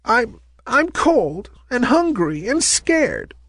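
A man's voice: medium pitch, wildly swooping pitch, moderate pace, breathy edge, no room sound, clean studio sound. A man voicing a tiny, earnest toy ballerina girl in a storybook, wistful and polite. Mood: scared, surprised.

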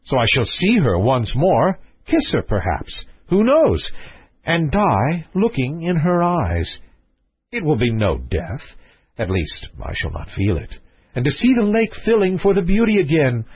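A man voicing a calm young prince from a storybook, speaking with cool, polite nonchalance. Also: fairly high pitch, measured pace, slightly rough, no echo, highs cut off, exaggerated voice. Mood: wistful, resigned. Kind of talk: storybook character voice.

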